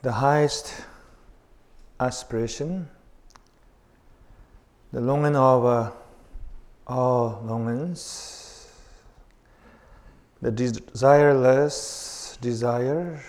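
A man leading a guided meditation as a teacher, speaking in deliberate, halting phrases with long pauses. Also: mid-range voice, a little mellow.

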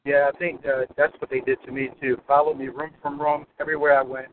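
A man's voice: mid-range voice, little pitch movement, fast talker. Rambling male caller on a phone. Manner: rambling, many restarts. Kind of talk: call-in question. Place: car, driving to work. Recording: phone line with faint noise.

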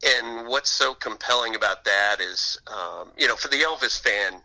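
A man with a very bright, sharp voice at a middle pitch. He talks as an author being interviewed, telling stories conversationally. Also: normal speed, poor audio. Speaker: interview guest, author and TV reporter. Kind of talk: conversational storytelling.

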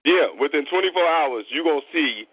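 A man's voice: on the high side, fairly quick, breathy edge, dry recording. A man hosting a call-in show, talking earnestly and explaining things with many repetitions. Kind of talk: casual spiritual chat. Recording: dry tinny phone line.

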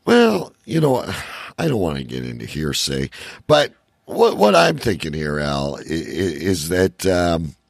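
Gravelly, low-voiced man hosting a show. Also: sing-song, measured pace, no room sound, clean studio sound. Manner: rambling, joking. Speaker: talk show host. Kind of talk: casual radio show banter.

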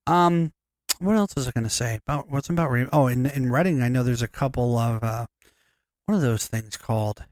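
A man's voice: medium pitch, a little fast, darkish tone, no echo. A man co-hosting a podcast, chatting casually in a rambling way, swearing freely and restarting his sentences. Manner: rambling, profane, many restarts. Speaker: podcast co-host. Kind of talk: casual chat.

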